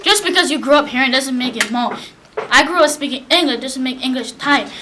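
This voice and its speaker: A woman's voice: high-pitched, fairly quick, noisy background, close to an everyday voice. A young woman playing a classmate who pointedly challenges another student's claims, in an amateur student drama. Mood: challenging.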